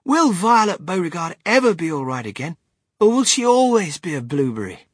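A man's voice: sits high, very animated, normal speed, a bit bright, slightly rough, no echo, studio quality, pitched above the performer's own voice, full character voice. A man voicing a small, polite, anxious boy with earnest, worried questions in a storybook reading.

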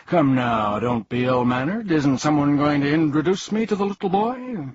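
A man's voice: on the high side, expressive, normal speed, dull audio, slight background noise, pitched above the performer's own voice, lightly acted. A man voicing a pompous, blustering old male humbug bug who declaims and boasts in a storybook reading.

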